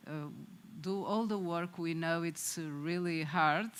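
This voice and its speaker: A woman presenting at a conference, halting with many fillers and restarts. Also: on the low side, smooth.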